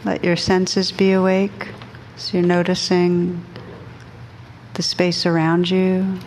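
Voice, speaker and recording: Female meditation teacher, slightly low pitch, flat steady intonation, gentle, unhurried, long pauses. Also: a little hiss or hum, low-quality recording. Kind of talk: guided meditation, softly instructing a group.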